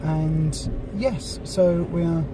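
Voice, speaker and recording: A vlogger with a croaky voice from a cold, chatting in a rambling way with lots of ums from inside a car. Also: mid-range voice, steady tone, very slow, distant mic. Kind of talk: casual chat. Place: in a parked car. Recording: noisy car interior. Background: street and traffic noise.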